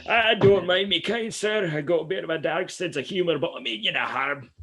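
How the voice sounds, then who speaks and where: An actor playing a sly, mock-courteous grave robber with a dark sense of humour in a radio play, his voice husky. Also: high-pitched, brisk, full character voice. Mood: sly, genial. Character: sly, darkly humorous grave robber, obsequiously polite.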